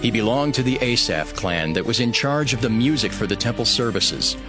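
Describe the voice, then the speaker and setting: A man reading scripture as a scripted audio Bible narration, in a measured, formal way. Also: medium pitch, lively intonation. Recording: music behind the voice. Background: background music.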